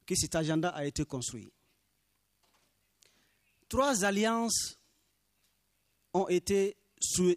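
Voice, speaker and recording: A man's voice: high-pitched, a little mellow, dry recording, clean studio sound. Man giving an earnest formal speech. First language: Minyanka. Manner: earnest, deliberate, emphatic on key phrases. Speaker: speaker for small farmers' movement.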